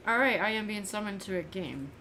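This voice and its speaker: A woman's voice: medium pitch, animated, fairly quick, pushed and bright. Woman musing over noisy livestream audio. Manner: musing, thinking aloud in fragments. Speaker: artist livestreaming a drawing session. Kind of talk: casual unscripted drawing commentary.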